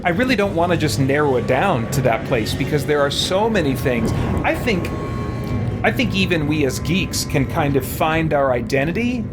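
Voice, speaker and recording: A man with a slightly high voice talks as a game streamer over loud music. His commentary is casual and reflective, circling around points carefully as he thinks them through. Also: lively intonation, moderate pace. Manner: thoughtful, hedging, discursive. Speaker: gaming channel host.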